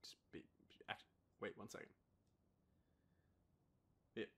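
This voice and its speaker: A young Australian man acting an intimate boyfriend roleplay as a shy, nervous partner, speaking softly and haltingly into a close microphone. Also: in a whisper. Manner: hesitant, nervous, halting with breathy trailing phrases. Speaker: audio roleplay performer. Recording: faint background noise, ambient sound.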